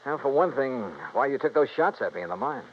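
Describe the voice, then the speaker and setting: An actor playing a wry, polite American insurance investigator in a 1950s radio detective drama. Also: male voice, mid-range voice, animated, a little fast, close to an everyday voice. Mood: polite, easygoing. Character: wry, gentlemanly freelance insurance investigator hero. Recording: muffled, hissy vintage radio recording.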